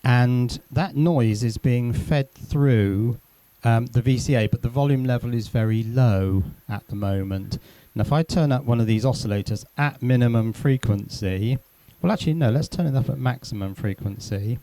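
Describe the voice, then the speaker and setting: A man's voice: medium pitch, normal speed, warm tone, no room sound. A man presenting an informal hands-on electronics demonstration, thinking aloud and correcting himself as he explains.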